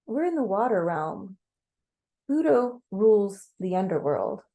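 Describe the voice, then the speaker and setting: A woman's voice: mid-range voice, big pitch swings, measured pace, dark tone. A woman voicing a compassionate male planet guide in a story, matter-of-fact and reassuring. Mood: calm, reassuring.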